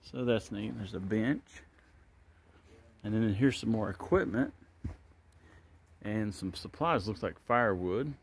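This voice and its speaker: A man's voice: lowish voice, expressive, a little slow, vocal fry, long pauses, a little hiss or hum. Guy filming himself walking thru an old barn on his phone, rambling, not sure what stuff is. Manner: rambling, unsure, thinks aloud. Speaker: vlogger giving a walking tour. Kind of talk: casual walk-and-talk narration. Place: open-sided wooden barn outdoors. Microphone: handheld phone camera.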